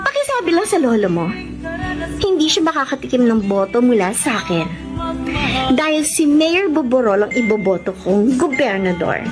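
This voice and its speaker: A woman's voice: fairly high pitch, big pitch swings, normal speed, over music. An actress playing a remorseful, devout young woman in an emotional Tagalog radio drama, with a Filipino accent. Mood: taunting.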